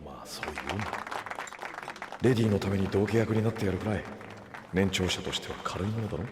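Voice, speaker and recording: An actor playing a suave, courteous gentleman in an audio drama, formally polite and dryly teasing. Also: low voice, expressive, a little fast, a crowd in the background, background noise. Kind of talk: Japanese audio drama acting. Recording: music playing clearly behind.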